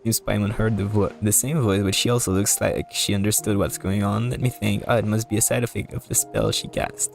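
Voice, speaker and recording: A man giving casual live commentary as a game streamer, rambling and halting as he repeats words. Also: fairly low pitch, vocal fry, faint music behind.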